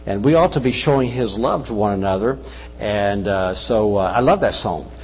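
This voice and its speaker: A man's voice: on the low side, normal speed, in a large hall, a little hiss or hum, rough recording. An older man with a Kentucky accent, raised in Brazil, preaching as a guest missionary in a church on a wireless mic, folksy with joking asides. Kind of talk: sermon. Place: church service.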